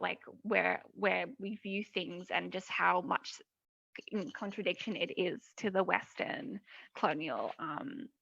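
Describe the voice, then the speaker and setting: A woman's voice: lowish voice, creaky, a bit bright, no room sound. A woman speaking on a panel in a rambling, casual way with many fillers. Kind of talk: panel discussion. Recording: dry, dull lo-fi sound.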